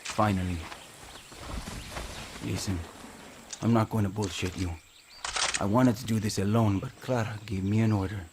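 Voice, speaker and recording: A man's voice: fairly low pitch, steady tone, normal speed, long pauses, noisy background, natural voice. A man playing a bitter, hostile guerrilla fighter in a video game, speaking in a curt, resentful, profane way.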